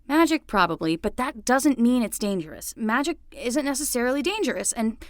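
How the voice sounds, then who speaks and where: Woman acting chatty young nanny, highish voice, casual n excitable, dry studio sound. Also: fairly quick, no echo. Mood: skeptical, teasing. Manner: casual, rambling, expressive. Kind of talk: scripted fiction podcast acting.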